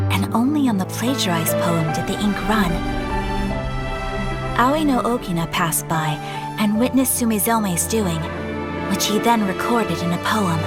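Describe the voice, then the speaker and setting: A woman playing a refined, courteous young noblewoman in an anime game, speaking in a polite, measured, formal way. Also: medium pitch, lightly acted. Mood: solemn storytelling.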